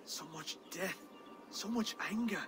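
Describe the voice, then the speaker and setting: A man's voice: sits high, a little slow, noisy background. A man playing an earnest, grateful Saxon king in a video game, speaking formally. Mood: grave, sincere. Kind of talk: dramatic video game acting.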